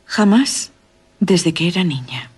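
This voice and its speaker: A mysterious, languid young noblewoman in a gothic radio play. She has a lowish, husky voice and a Castilian accent. Her speech is formal and a little evasive, as if guarding secrets. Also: animated, slow, a little mellow, full character voice. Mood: hesitant. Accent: Castilian Spanish. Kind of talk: radio drama acting.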